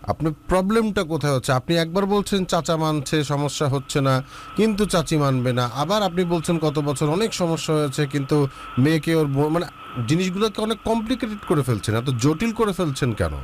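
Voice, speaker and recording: A man's voice: fairly high pitch, animated, a little fast, slight background noise. A man hosting a love advice show, questioning a caller bluntly and impatiently. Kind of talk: counseling a caller.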